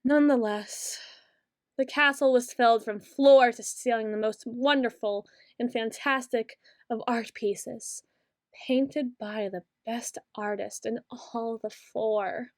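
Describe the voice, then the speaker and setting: Female performer as a young queen, soft-spoken and bitter, unhurried, for an ASMR roleplay, close mic, no room echo. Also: on the high side. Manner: soft-spoken, slow and musing, turning bitter. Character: brooding young queen, bitter toward her late father.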